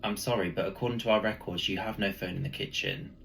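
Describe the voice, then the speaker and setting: A man voicing a matter-of-fact phone company operator in a spooky story, polite, flat and insistent. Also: deep voice, fast talker, vocal fry, a little hiss or hum. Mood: firm, matter-of-fact.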